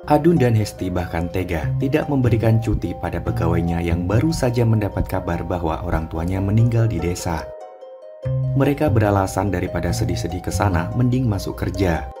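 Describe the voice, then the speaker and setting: A low-voiced man tells the story in measured, scripted narration. The voice sits deep and steady. Loud music plays behind him.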